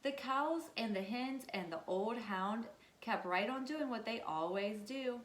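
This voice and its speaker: A woman's voice: fairly high pitch, measured pace. A woman teacher reading a children's storybook aloud and checking in with her listeners in an instructive way. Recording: echoey room.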